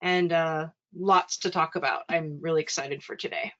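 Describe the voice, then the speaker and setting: A woman's voice: low-pitched, fairly even intonation, moderate pace, fairly bright tone, muffled recording. A woman of thirty from Hawaii, introducing herself in an upbeat, eager way on a panel. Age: 30s.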